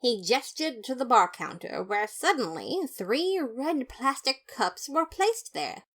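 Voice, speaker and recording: A woman playing a pompous, fastidious skeleton detective, narrating a story in the first person with theatrical, haughty disapproval. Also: sits high, sing-song, a bit bright, heavily acted. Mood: disgusted.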